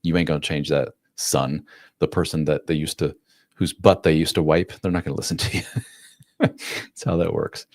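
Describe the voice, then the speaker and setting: A man's voice: a bass voice, fairly even intonation, normal speed, no echo, studio quality. A man in his forties hosting a live chat, reading viewer comments aloud and answering them in a frank, slangy, casual way. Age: 40s. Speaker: host and coach.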